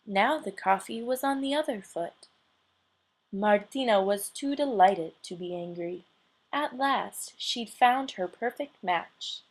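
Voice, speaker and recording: A woman reading a children's storybook aloud as an expressive storyteller. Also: medium pitch, slow.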